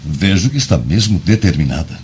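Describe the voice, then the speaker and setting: An actor playing a sly, menacing, leering Roman guard in a radio drama. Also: male voice, low-pitched, lively intonation, a little fast, a little mellow, slight background noise. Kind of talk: Brazilian radio drama acting. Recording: dull lo-fi radio drama with music.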